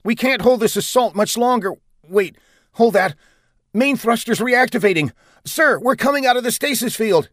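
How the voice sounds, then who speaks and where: Man voicing a frantic, high-pitched squadron officer. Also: lively intonation, moderate pace, dry recording, studio quality. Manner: frantic military radio reporting. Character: frantic lieutenant commander of battered fighter squadron. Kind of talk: science fiction audiobook character.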